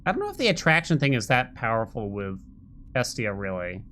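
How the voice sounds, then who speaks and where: A man's voice: mid-range voice, wildly swooping pitch, brisk, pushed and bright, slight background noise. A man in his thirties giving casual, slangy live commentary while streaming a video game. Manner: informal, slangy, swearing. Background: game music under the voice.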